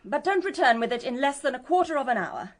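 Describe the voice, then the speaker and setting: A prim young English lady of the 1920s, high-pitched and swooping in tone. She speaks in pompous, formal sentences with icy disdain, in period radio drama style. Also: animated, fast. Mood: haughty, formal. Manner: pompous, formal, clipped dismissive phrasing. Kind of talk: 1920s country-house radio mystery acting.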